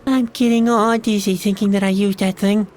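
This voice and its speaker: A woman's voice: medium pitch, steady tone, moderate pace, a little mellow, slight background noise, close to an everyday voice. A woman voicing a young escaped prisoner in a video game, gloomy and anxious, given to wry muttered asides to themself. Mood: wry, uneasy. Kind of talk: video game adventure dialogue.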